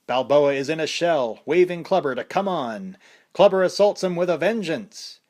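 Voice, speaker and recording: Man reading a fight announcer, excited, pitched up, shouting the action, audiobook. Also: normal speed, close to an everyday voice. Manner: breathless play-by-play.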